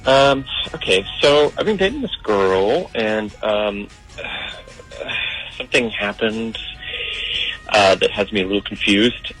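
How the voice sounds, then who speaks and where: Young guy calling a radio show, kinda low voice, lots of ums and likes as he rambles on. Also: lively intonation, slow, a bit bright, soft background music, rough recording. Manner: hesitant, rambling, lots of fillers. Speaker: caller. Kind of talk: telling a story on a radio call-in.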